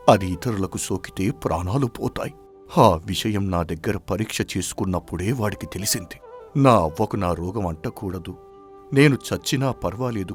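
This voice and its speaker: A village healer in a dramatic moral tale, acted by a man. He speaks in a fairly low voice with grave, earnest sorrow. Light music plays behind him. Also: close to an everyday voice. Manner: earnest, solemn explaining. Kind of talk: melodramatic story acting.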